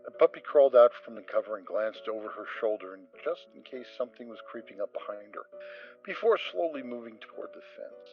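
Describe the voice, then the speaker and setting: A man with a mid-range voice narrating a story in a steady, expressive way. The audio is a bit muffled. Soft music plays underneath. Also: mid-range voice, fairly quick. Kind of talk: dramatic reading of fan fiction.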